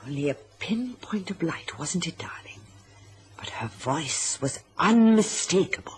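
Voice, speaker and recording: A woman's voice: fairly low pitch, animated, measured pace, heavy background noise. An actress playing a scheming, middle-aged mother in an old radio drama, imperious and silky in manner. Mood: feigned concern. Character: scheming, domineering mother with a sweet, coaxing front.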